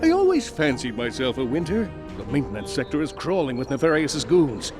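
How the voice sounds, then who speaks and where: An actor voicing a pompous, vain and cheerfully overconfident space hero in a video game, hammy and self-admiring. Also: male voice, mid-range voice, big pitch swings, fairly quick, music in the background, lightly acted. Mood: smug. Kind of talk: video game cutscene acting.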